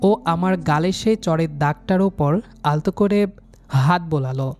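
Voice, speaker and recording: A man's voice: fairly high pitch, a little slow, darkish tone. A man narrating a romantic story in the first person, dramatically, with an earnest and emotional delivery. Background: background music.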